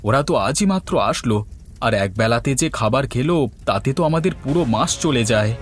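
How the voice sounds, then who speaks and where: A man's voice: mid-range voice, expressive, brisk, close to an everyday voice. A man playing a genial-seeming but sly village uncle in a fairy-tale cartoon, with music behind. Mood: worried.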